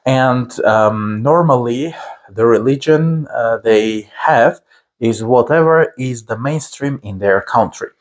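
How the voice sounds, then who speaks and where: A man with an Armenian accent teaching a lesson to camera, speaking slowly and deliberately with frequent filler pauses. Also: mid-range voice, dull audio.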